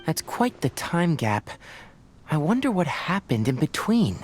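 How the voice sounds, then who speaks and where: An actor playing a composed, scholarly young man in a video game, measured and matter-of-fact. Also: a little high, sing-song, soft background music. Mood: pensive. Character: composed, scholarly young male forest researcher.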